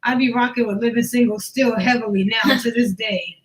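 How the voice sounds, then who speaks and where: A woman's voice: fairly high pitch, steady tone, brisk, a crowd in the background, low-quality recording. A woman from New York hosting a casual chat podcast, talking in a slangy, profane way. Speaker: podcast host.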